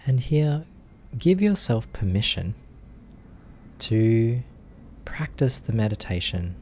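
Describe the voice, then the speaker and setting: A man leading a guided meditation as a teacher, speaking gently and softly in short phrases with long pauses. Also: medium pitch, very animated, slight background noise.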